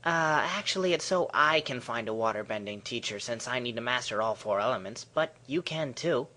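A man's voice: medium pitch, expressive, brisk, pushed and bright. A man playing a cocky, carefree twelve-year-old boy hero in a comedic cartoon parody, flippant and boastful. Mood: breezy.

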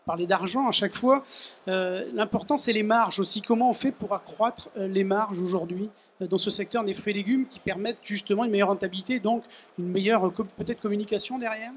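A man moderating a trade fair panel, asking questions in a brisk, conversational way into a handheld mic. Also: high-pitched. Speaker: host, moderator.

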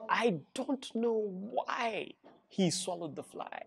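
A man's voice: high voice, lively intonation, slow, creaky, breathy edge. A middle-aged man with a Ugandan accent reading a rhyming picture book aloud to children in a playful, encouraging way. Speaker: storyteller reading to schoolchildren. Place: indoor school reading room with seated children.

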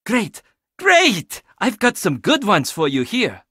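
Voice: medium pitch, huge pitch swings, slow, bright, forceful tone, slightly rough, no echo, studio quality, exaggerated voice. An actor playing a quirky, chatty young handyman who loves puzzles in a video game, enthusiastic with sardonic, casual asides. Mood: excited, friendly. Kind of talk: video game character dialogue.

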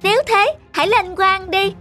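A woman's voice: very high voice, normal speed, pushed and bright, faint music behind. A woman voicing a clever, polite but cheeky young village boy in a cartoon, confident and artful. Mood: assured. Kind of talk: animated cartoon acting.

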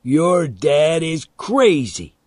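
A man voicing a big, angry bully in a story, shouting, taunting and threatening in a raised voice. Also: medium pitch, slow pace, dry recording, clean studio sound, lightly acted.

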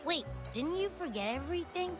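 A woman's voice: very high-pitched, lively intonation, moderate pace. A woman voicing a small, cute, demanding creature in a video game, with a petulant childlike delivery. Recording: music behind.

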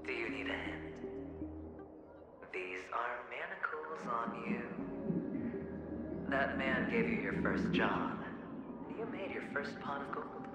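A man voicing a taunting ghostly double of a gambler in a video game, mocking and theatrical, with drawn-out phrasing. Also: medium pitch, lively intonation. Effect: ghostly echo. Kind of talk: dramatic video game voice acting. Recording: echoing processed voice under music.

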